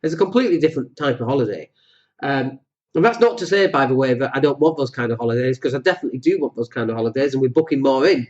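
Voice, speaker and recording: A man hosting a solo podcast, talking with a cold that makes his voice deeper, rambling and jokey with many fillers. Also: expressive, rapid-fire, a little mellow, dull audio. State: has a cold, voice deeper than usual. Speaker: podcast host.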